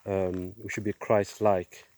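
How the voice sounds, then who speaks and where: A middle-aged man giving a personal testimony outdoors, speaking in a halting, measured way with pauses. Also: sits low, little pitch movement, darkish tone. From Zimbabwe, born in Zambia. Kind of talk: personal testimony, casual monologue. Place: outdoors, on a walk.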